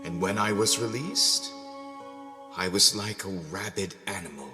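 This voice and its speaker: A man playing a bitter, weary ex-convict who recalls his life in a brooding, halting dramatic monologue. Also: fairly low pitch, lightly acted. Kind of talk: dramatic first-person audio drama monologue. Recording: long reverb under prominent music. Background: music.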